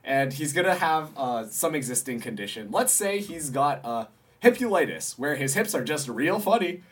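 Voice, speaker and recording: A man's voice: fairly high pitch, very animated, normal speed, breathy edge. A man co-hosting a comedy podcast in casual chat, joking and laughing often. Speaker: podcast co-host.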